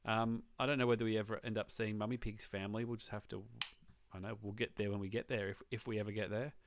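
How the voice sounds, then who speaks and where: A man's voice: fairly low pitch, quick pace, noisy background. A man co-hosting a casual podcast, joking and talking in loose restarts. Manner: relaxed, joking, trailing restarts. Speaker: podcast co-host. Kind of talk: casual chat.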